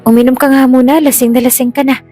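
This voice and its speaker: A woman's voice: high voice, little pitch movement, fast talker, warm tone, faint music behind, pitched above the performer's own voice. A woman voicing a scheming young stepsister in an audio story, coaxing with false sweetness. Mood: falsely sweet. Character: Cecilia, sly scheming evil young stepsister. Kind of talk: dramatized Tagalog audio story.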